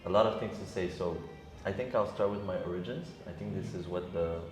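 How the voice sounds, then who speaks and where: A young man from Senegal, a guest DJ, talking casually in an interview with many ums and pauses. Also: sits low, faint music behind. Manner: relaxed, hesitant, many ums and uhs. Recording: echoey room with background noise.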